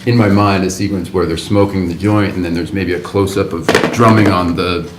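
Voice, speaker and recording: Deep-voiced man giving script feedback. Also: steady tone, a little fast. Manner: thinks aloud, rambling with restarts. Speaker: writers' group member giving feedback. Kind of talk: casual critique discussion. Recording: roomy table recording, faint background noise.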